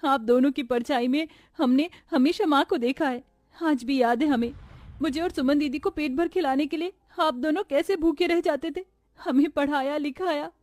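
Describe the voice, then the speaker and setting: A woman's voice: high voice, moderate pace, darkish tone, no room sound, pitched above the performer's own voice, natural voice. A woman voicing a devoted young sister from a poor family in a cartoon story, pleading and emotional. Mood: tearful, tender.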